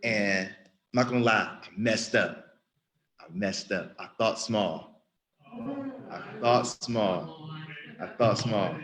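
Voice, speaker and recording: A man of about thirty speaks in a slightly low voice, sharing an unscripted church testimony. He talks earnestly and loosely, circling back and repeating phrases as he goes. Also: slow, pauses often, fairly bright tone. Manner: earnest, rambling, with frequent restarts and repetition. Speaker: church member giving testimony. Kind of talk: spontaneous spoken testimony.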